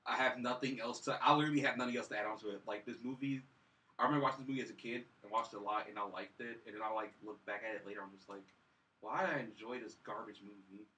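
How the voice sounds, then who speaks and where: A man's voice: fairly low pitch, very fast, bright, forceful tone, far from the mic, rough recording. A young man co-hosting a casual movie review conversation with friends. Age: young adult. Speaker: movie review podcast co-host. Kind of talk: casual group film discussion.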